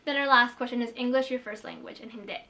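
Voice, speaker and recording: Chatty young woman booktuber, slightly high. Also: fairly even intonation, fast, bright, forceful tone. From Philippines. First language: Tagalog. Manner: chatty, many "like" fillers, self-deprecating. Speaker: book vlogger. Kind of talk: casual chat to camera.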